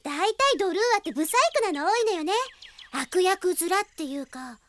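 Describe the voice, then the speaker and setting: A very high-voiced cheeky girl. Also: expressive, a little fast, a little hiss or hum. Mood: mischievous. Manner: girlish, blunt, gossipy casual speech. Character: cheeky, chatty, boy-crazy teenage girl knight. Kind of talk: radio drama acting.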